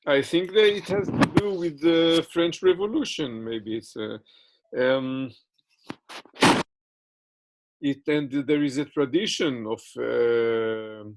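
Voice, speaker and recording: A man giving interview answers in English, which is not his first language, with many fillers and restarts. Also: fairly high pitch.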